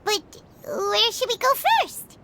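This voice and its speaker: A woman voicing a tiny, cheerful, childlike fairy companion with a squeaky voice in a video game. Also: slow, gravelly, background noise, heavily acted. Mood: curious. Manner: refers to self by name, exclamatory. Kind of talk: animated video game voice acting.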